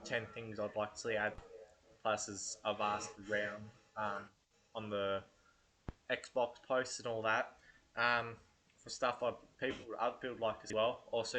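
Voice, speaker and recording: Man, head cold, hesitant, rambling gaming commentary, bright tone. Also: on the low side, poor audio. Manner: rambling, hesitant, many fillers and restarts. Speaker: gaming channel host. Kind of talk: casual unscripted commentary.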